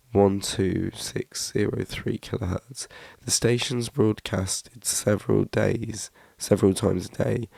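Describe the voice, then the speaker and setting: A British man, the channel's host, reading a scripted documentary narration in a measured, hushed way that drops to a whisper at times. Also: sits low, gravelly, breathy edge, dry recording.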